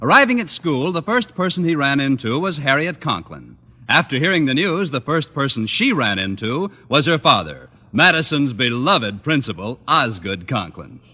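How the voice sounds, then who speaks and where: Very forceful male radio announcer. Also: medium pitch, very animated, normal speed, husky. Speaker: announcer and narrator. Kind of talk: vintage radio scripted narration. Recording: reverberant old radio recording.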